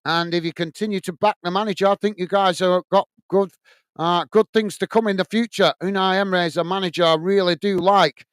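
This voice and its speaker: A man's voice: sits high, steady tone, brisk, clear, resonant tone, no echo, studio quality. A man from Bolton with a Lancashire accent hosting a live football watch-along, calling the play and chatting to viewers, often calling them mate between uh fillers. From Bolton, north of England. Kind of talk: live match commentary and chat reading. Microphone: earphones and desk microphone.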